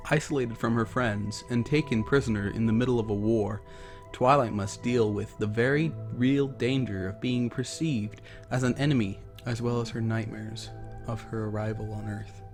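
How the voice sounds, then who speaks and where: A man narrating a story in a dramatic reading style. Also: medium pitch. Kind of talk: dramatic reading of fan fiction. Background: music.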